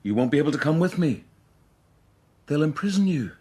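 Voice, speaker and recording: A man's voice: a little high, very animated, brisk, mellow, husky. An actor playing an ambitious, impatient young man in a radio drama, with a brash manner. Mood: subdued. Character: ambitious, impatient, cocky young male fortune hunter.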